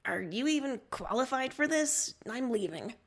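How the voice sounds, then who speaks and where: Stubborn alien rebel leader, played by a woman, fairly high voice, sarcastic, impatient, deadpan. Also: moderate pace. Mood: weary, sarcastic.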